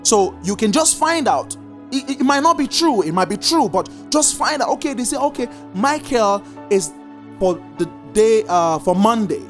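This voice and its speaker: Lively sing-song preacher. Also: medium pitch, faint music behind. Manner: lively sing-song emphasis, slow drawn-out repeated commands. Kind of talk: sermon teaching.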